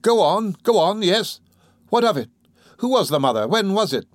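A man's voice: high voice, sing-song, a little slow, no echo, studio quality, pitched above the performer's own voice. A man voicing an impatient, greedy matron, demanding and urgent, in a storybook reading.

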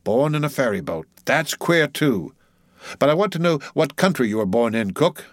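A man's voice: mid-range voice, big pitch swings, moderate pace, no room sound, clean studio sound, voice pushed up, close to an everyday voice. A man voicing a bossy ship's officer in an audiobook sea story, commanding and teasing with mock solemnity.

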